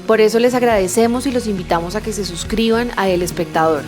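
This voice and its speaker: A woman's voice: medium pitch, fast, over music. A woman reading scripted podcast credits as an announcer.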